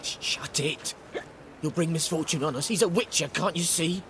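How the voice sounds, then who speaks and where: A man's voice: on the high side, moderate pace, a bit bright, a little hiss or hum, lightly acted. An actor playing a nervous, jumpy male thug. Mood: jittery. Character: jittery male thug butcher.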